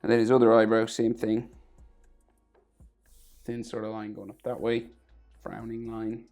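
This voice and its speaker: A man with a sore throat and a crackly, gravelly voice, talking casually through a drawing tutorial, often trailing off to a near whisper. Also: on the low side, completely flat delivery, a little slow, darkish tone, soft background music.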